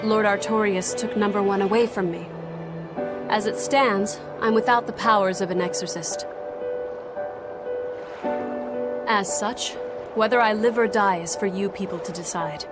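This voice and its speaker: A woman's voice: medium pitch, steady tone, loud music behind the voice, natural voice. Woman voicing a stern protective noblewoman. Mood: formal. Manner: cold, formal, measured. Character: stern young noblewoman exorcist, fiercely protective sister. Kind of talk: game voice acting.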